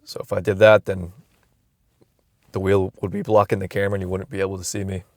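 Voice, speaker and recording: A man's voice: sits low, little pitch movement, fast, a little mellow, no echo. A young man vlogging from inside a car, musing aloud in a loose, rambling way with frequent restarts, recorded on a phone camera. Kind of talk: casual rambling vlog.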